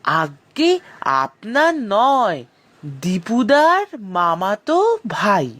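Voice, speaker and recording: A woman's voice: medium pitch, sing-song, extremely slow, a bit bright, heavily acted. A woman voicing a greedy, cheeky young boy with a whining drawl in a children's cartoon. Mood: eager.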